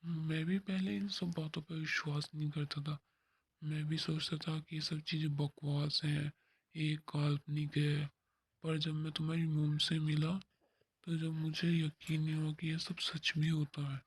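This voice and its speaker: A performer voicing a gentle young male businessman who speaks slowly and dreamily. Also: medium pitch, flat delivery, slightly rough, pitched below the performer's own voice. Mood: dreamy.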